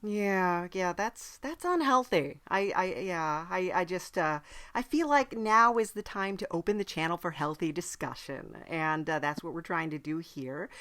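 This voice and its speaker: A woman playing a non-human podcast host from hell, chatting casually in a halting, dryly polite way. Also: fairly low pitch, lively intonation, fairly bright tone. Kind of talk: casual in-character interview chat.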